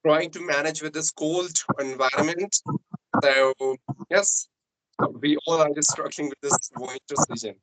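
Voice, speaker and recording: A man's voice: fairly high pitch, measured pace, fairly bright tone, dry recording, low-quality recording. A man with an Indian English accent, coaching English in an earnest, explanatory way on a video call. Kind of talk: online conversation practice.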